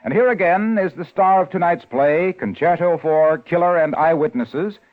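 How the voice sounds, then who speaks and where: A man's voice: on the high side, moderate pace. A man announcing for a sponsor with a polished, salesmanlike 1950s radio delivery. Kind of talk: 1950s radio advertising pitch. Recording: tinny 1950s radio broadcast.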